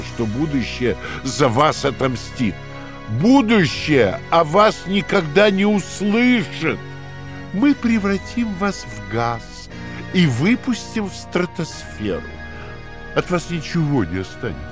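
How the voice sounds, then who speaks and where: An actor playing a coldly patient, menacing Party interrogator in a radio play, with a theatrical, deliberate, lecturing delivery that swings from hushed to shouting. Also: male voice, a little high, big pitch swings, music in the background. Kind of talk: dramatic radio play acting.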